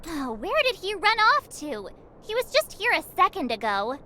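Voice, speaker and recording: A woman voicing a cheeky, grumbling, excitable girl adventurer in an anime-style game, expressive and sassy. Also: very high-pitched, normal speed, a little hiss or hum, heavily acted. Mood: puzzled. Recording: music behind.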